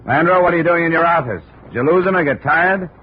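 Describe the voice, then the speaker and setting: An actor playing a hard-boiled, wry male investigator in 1950s radio drama, brisk, probing and sardonic. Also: on the high side, close to an everyday voice. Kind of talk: 1950s radio detective acting and narration. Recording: scratchy, narrow-band 1950s radio recording.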